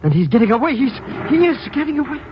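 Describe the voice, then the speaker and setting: An actor playing a cowardly, wisecracking comic sidekick, slangy, excitable and complaining, in a 1950s radio drama. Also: male voice, extremely high pitch, quick pace. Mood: panicked. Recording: muffled, noisy old radio recording.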